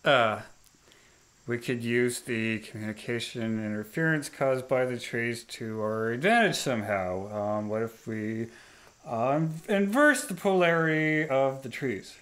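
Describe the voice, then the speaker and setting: A man's voice: medium pitch, a little slow, fairly bright tone, lightly acted. A man playing a timid, bumbling starship science officer in a comic radio play, hesitant and unsure of himself.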